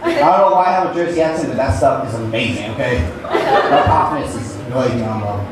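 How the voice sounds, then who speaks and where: A man's voice: mid-range voice, fast talker. A young man performing a stand-up comedy set on a club stage, with an awkward, rambling, self-deprecating delivery. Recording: echoey club room, distant mic, background noise.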